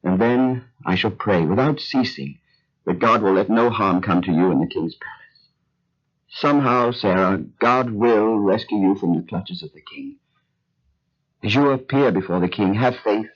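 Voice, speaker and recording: An actor playing a humble patriarch who speaks earnestly and solemnly, in an old radio drama. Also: male voice, on the low side, moderate pace, natural voice. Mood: remorseful. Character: humble, remorseful male patriarch. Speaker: Abram. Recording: dull lo-fi old recording.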